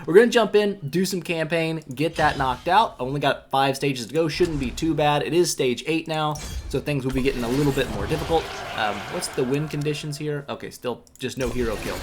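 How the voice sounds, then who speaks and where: A young man giving relaxed, rambling commentary while playing a mobile game, a bit congested from a cold, with lots of filler words and self-mocking asides. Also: a little high, a little hiss or hum. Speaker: gaming YouTuber. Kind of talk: casual gameplay commentary.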